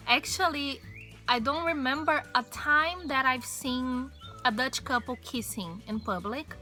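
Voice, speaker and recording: A young Brazilian woman speaks English with a Portuguese accent, chatting easily and filling pauses with "uh" and "um." Her voice is higher and bright, and she takes her time. Faint music plays softly under her, recorded outdoors on a camera mic. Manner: chatty, fillers and hesitations. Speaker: vlogger. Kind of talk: casual chat to camera. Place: outdoor patio by a wooden fence.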